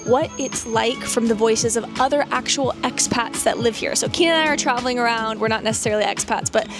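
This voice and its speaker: A woman's voice: on the high side, a little fast. A woman hosting a travel vlog, chatty and upbeat as she introduces her interviews. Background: background music.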